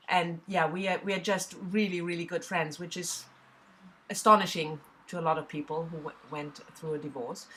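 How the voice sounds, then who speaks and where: Chatty German woman, lowish voice. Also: a bit bright, a little hiss or hum, poor audio. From southwest Germany. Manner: chatty, with frequent fillers and restarts. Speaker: book vlogger. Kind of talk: casual talk to camera.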